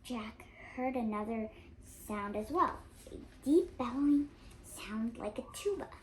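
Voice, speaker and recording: An eight-year-old girl reading a storybook aloud, carefully and a little haltingly, with expression. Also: on the high side. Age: child of 8. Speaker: young reader. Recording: noisy home recording.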